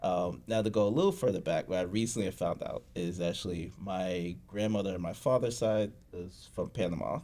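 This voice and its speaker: Man, very deep voice, guest, relaxed storytelling, fillers, laughs a lot. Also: a little fast, hardly any pauses. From USA, Boston. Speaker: guest, founder of a creative studio. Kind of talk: casual podcast conversation.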